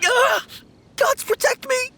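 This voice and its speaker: A woman's voice: very high voice, takes it slowly, gravelly, very noisy, heavily acted. A woman voicing a timid, easily spooked young male undertaker in a video game, stammering and pleading in fear. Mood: terrified. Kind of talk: English video game voice acting.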